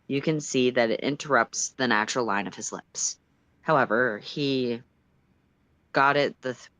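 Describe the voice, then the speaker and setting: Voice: medium pitch, a bit bright, no echo. A storyteller reading fan fiction aloud in the second person, with an emphatic, dramatic and exasperated delivery. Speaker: storytime livestream reader.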